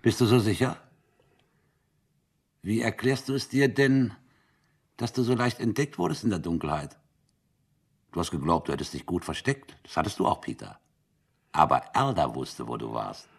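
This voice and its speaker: A deep, rough-edged male voice with a sardonic bite. a harsh military captain in a radio drama, interrogating with impatient, bullying authority. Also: animated, normal speed, long pauses, exaggerated voice. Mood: accusing, taunting. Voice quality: low, husky.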